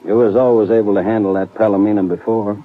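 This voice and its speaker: A man's voice: on the low side, fairly even intonation, fast talker, close to an everyday voice. An actor playing a stern male trail boss and older brother who talks in a firm, blunt way. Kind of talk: 1950s radio drama acting. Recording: tinny lo-fi old radio broadcast.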